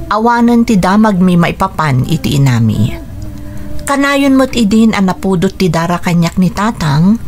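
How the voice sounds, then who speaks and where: A woman's voice: fairly low pitch, darkish tone. A woman playing a humble, hurt young letter writer, narrating in the first person for a radio drama in a plaintive, confiding manner.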